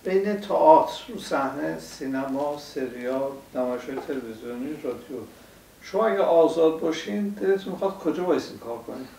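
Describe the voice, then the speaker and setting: An older male interviewer puts conversational questions in a somewhat high, creaky voice. The room sounds echoey around him. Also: animated, dark tone, slight background noise. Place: living room, indoors.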